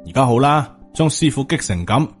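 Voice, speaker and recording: A man's voice: mid-range voice, animated, a little fast, soft background music, lightly acted. A man with a Hong Kong Cantonese accent voicing a dejected, worried, loyal disciple in an audiobook, with a reproachful manner.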